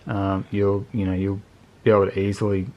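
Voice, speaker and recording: Man, fairly deep voice, very flat intonation, casual unscripted reviewer, colloquial, plain-spoken. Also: brisk, dull audio. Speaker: motorcycle video channel host reviewing gear.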